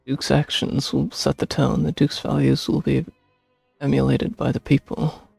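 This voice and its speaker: A man giving casual playthrough commentary as a game streamer, with a gravelly, husky voice. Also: medium pitch, level tone, measured pace, a little mellow, no echo, soft background music.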